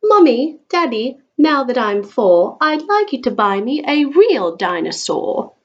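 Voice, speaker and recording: A willful little storybook girl of four , as a woman acts her out. She is eager and demanding, and her voice sits high. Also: very animated, unhurried, highs cut off, heavily acted. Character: willful, excited four-year-old girl.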